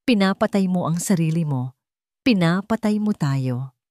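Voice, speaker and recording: A woman's voice: on the low side, animated, normal speed, darkish tone, dry recording, lightly acted. A desperate wife in an audio drama , acted by a woman narrator, pleading against an accusation. She sounds emotional and wounded. The recording is dry.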